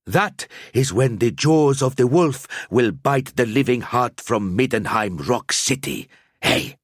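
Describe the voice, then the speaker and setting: A man voicing a tiny, cryptic old male alchemist who speaks in slow riddles and tags lines with "eh?". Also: mid-range voice, lively intonation, no echo, studio quality, voice pushed up. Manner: slow cryptic prophecy with tag "eh?".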